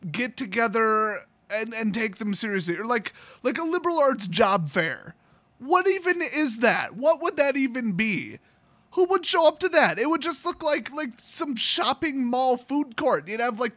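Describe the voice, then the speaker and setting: A man's voice: high voice, bright, forceful tone. A man hosting a casual comedic storytelling video, rambling and exclamatory in an amused way. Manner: amused, rambling, exclamatory, prone to breaking into laughter. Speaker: YouTube host.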